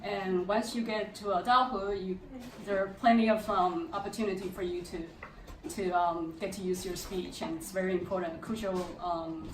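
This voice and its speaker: A woman's voice: medium pitch, fairly even intonation, moderate pace. A woman, a parent, giving an informal testimonial speech to an audience. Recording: echoey room, distant mic, faint noise.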